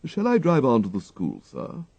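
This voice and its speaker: A man voicing a deferential, unflappable English valet in a storybook, speaking in formal, ornate, measured phrases. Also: medium pitch, wildly swooping pitch, creaky, darkish tone, breathy edge, no room sound, lo-fi sound, voice pushed up, full character voice. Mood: politely knowing. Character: dignified English gentleman's valet, deferential, unflappable, dryly clever.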